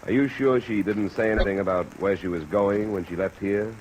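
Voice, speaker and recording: An actor playing a hard-boiled, world-weary insurance investigator, terse and dry, in 1950s radio detective style. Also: male voice, lowish voice, fairly even intonation, fairly quick, a little mellow, natural voice, rough recording. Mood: probing. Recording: vintage radio recording, clear rain noise overlaid.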